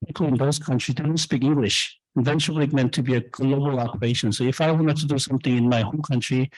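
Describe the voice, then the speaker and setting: A man's voice: mid-range voice, steady tone, fast talker, darkish tone, no echo, rough recording. A man in his fifties from South Korea, a startup chief answering audience questions on stage with candid, rambling talk full of fillers and restarts. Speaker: startup founder and CEO speaking at an event.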